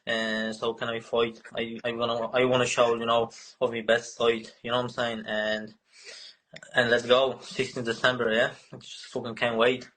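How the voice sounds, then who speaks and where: A man in his twenties with a Polish accent, a fighter answering interview questions in halting, broken English full of fillers and swearing. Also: mid-range voice, level tone, a bit bright, poor audio. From Poland. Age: 20s.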